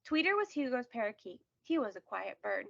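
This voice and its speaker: A woman narrating a children's storybook aloud with careful, expressive storytelling. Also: on the high side, brisk, vocal fry, no room sound, lo-fi sound. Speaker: storybook reader.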